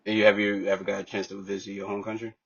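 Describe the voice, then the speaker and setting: A man's voice: fairly low pitch, flat delivery, very fast, slightly rough. A man hosting a podcast, opening with a hyped intro and then asking casual interview questions, repeating catchphrases. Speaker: podcast host. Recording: dull lo-fi mic.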